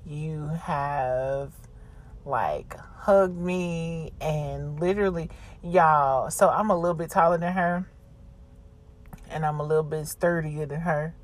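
A woman's voice: deep voice, slow. A woman podcast host chatting casually and confessionally, blunt, slangy and freely swearing.

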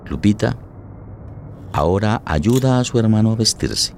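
An actor playing a grave, solemn narrator in a tragic radio play, with deliberate phrasing. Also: male voice, lowish voice, animated, creaky, a little mellow, slight background noise. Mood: grave, tense.

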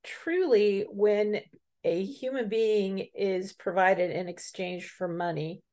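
A woman hosting an interview, with a voice of middling pitch. She speaks in a measured, reflective way and slows down to weigh her words. She sounds like she is on a remote call. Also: dark tone. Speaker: interview host. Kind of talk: interview conversation.

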